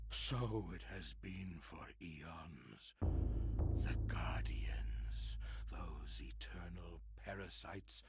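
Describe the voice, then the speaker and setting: A man's voice: on the low side, heavy background noise. An actor voicing a tormented, half-mad man raving in horror, in a grandiloquent, theatrical monologue for a horror game. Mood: haunted, hushed dread. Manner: grandiloquent, dramatic, swinging from whisper to shout.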